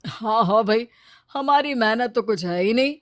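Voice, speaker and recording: A woman's voice: a little high, expressive, moderate pace, no echo, clean studio sound, lightly acted. Woman acting arrogant, fickle cartoon husband. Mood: scornful. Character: arrogant, fickle husband and businessman, selfish and boastful. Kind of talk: Hindi cartoon story dialogue.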